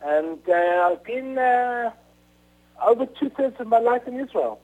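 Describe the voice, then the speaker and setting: South African man, halting on a phone line. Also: extremely high pitch, animated. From Rhodesia, now Zimbabwe. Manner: halting, many uhs and restarts. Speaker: author guest. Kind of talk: casual interview answers.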